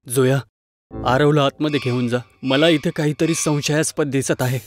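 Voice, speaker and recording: A man's voice: medium pitch, steady tone, moderate pace, lightly acted. A man playing a concerned young father, emphatic and dramatic, in a horror cartoon.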